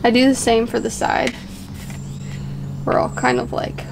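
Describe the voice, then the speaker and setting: A woman's voice: on the high side, flat delivery, a little slow, creaky, background noise. A young woman outdoors on a deck, chatting casually as she explains how she cuts hair at home, laughing at herself now and then. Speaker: vlogger cutting her husband's hair.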